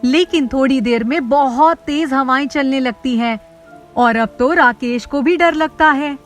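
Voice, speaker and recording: A woman's voice: high-pitched, measured pace, soft background music. A woman reading a scripted children's story as its narrator.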